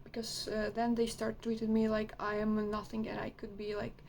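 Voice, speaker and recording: A woman's voice: medium pitch, completely flat delivery, moderate pace, creaky, a little mellow, slight background noise. A young woman from Ukraine with a Ukrainian accent, talking candidly in a rambling personal vlog, full of hesitations. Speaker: vlogger. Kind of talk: casual personal monologue.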